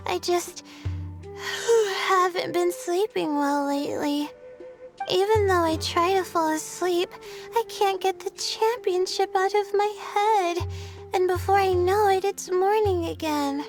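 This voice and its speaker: An anxious young girl from a video game, very high and small. She speaks slowly and hesitantly, polite and worn out, with music clearly behind her. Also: heavily acted. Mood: weary, anxious. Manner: hesitant, halting.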